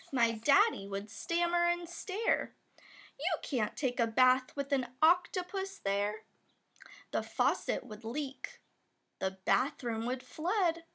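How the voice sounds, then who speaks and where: A woman's voice: sits high, pushed and bright. A woman reading a rhyming children's picture book aloud, with playful emphasis on the sound words. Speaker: storybook reader.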